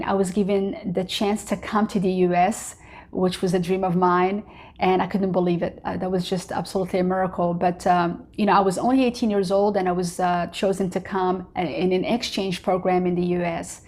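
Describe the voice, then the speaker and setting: A woman's voice: fairly low pitch, level tone, normal speed, a little mellow. A middle-aged woman from Morocco, English not her first language, hosting her own vlog and talking earnestly about her life, with many "you know"s and "uh"s. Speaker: vlogger host. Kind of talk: personal motivational talk to camera.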